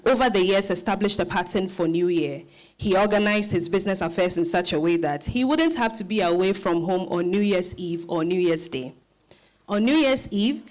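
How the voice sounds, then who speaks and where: A woman reading scripted literary narration. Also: mid-range voice.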